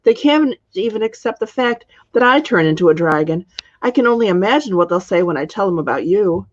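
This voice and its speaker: A woman's voice: medium pitch, animated, a little fast, natural voice. Woman reading as earnest young princess, muffled recording. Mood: uneasy. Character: young princess planning a small wedding, earnest.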